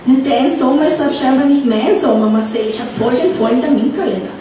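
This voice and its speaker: A woman voicing a cocky, slow-witted young male singer with an Austrian accent, recorded on a stand mic in a small pub. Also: sits high, dark tone, lightly acted. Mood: boastful. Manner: dim, defensive, boastful. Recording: echoey bar room, distant mic, noisy.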